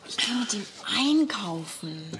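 An actress playing a bossy young woman, speaking clear slow German in a sitcom for learners. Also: mid-range voice, sing-song, a bit bright, slight background noise.

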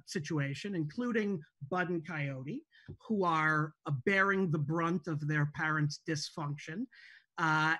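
Woman, lowish voice, hesitant and informal, introducing a show over video call. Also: fairly bright tone. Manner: hesitant, halting. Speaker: TV show creator introducing a table read. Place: indoors at home on a video call. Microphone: video call microphone.

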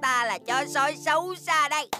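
A woman's voice: sits high, moderate pace, over music, natural voice. A woman voicing a small, hesitant animal schoolboy in a dubbed children's cartoon. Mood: pretend menacing.

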